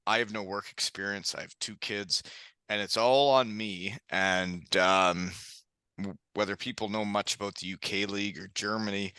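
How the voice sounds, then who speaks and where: Low, very bright-voiced man chatting candidly. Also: smooth, dry recording. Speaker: podcast host, former hockey player. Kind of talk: casual conversational podcast chat.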